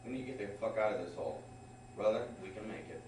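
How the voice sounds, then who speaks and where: A tough, protective young mercenary read by a man at a table read talks in a casual, halting way. The voice is low, caught in a very echoey room with clear background noise. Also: lightly acted. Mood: urgent. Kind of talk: informal script table read. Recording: echoey room, distant mic, noisy background.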